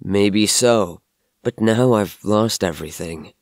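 A man's voice: on the low side, no room sound, studio quality. An actor playing a downcast, bewildered young man held captive in an audio drama, speaking hesitantly. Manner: hesitant, halting.

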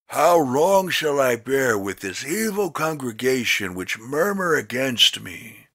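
Male Bible narrator, mid-pitched, solemn and declamatory, with wide expressive intonation and a dry, close studio sound. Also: no echo. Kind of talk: solemn dramatic scripture reading.

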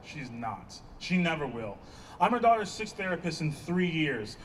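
Guy doing an annoyed dry therapist in a play, highish voice, kinda distant, outdoor noise. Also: big pitch swings, normal speed. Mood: dry, weary. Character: exasperated, dry, testy therapist and old friend. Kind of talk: staged play reading. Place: outdoor stage. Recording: distant outdoor stage pickup, background noise.

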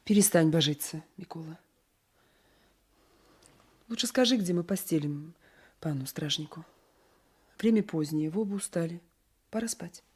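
An actress playing an anguished, fearful young peasant wife in a radio drama, with a very breathy voice. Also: female voice, lowish voice, moderate pace, long pauses, a little mellow. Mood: weary, restrained. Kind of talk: Soviet 1980s radio drama acting.

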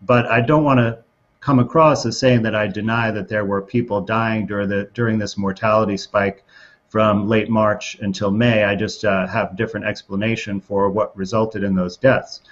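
A middle-aged man explaining something methodically as an interview guest, in a measured way, over a video call. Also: on the low side. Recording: slightly dull video call audio.

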